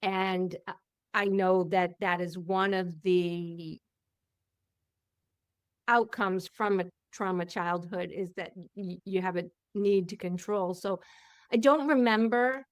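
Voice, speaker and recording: A middle-aged woman with a loud voice that carries talks slowly and candidly as a podcast host. Her tone is smooth and clear, and the room sounds dry and close. She reflects openly as she speaks. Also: mid-range voice, dry recording. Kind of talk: casual interview chat.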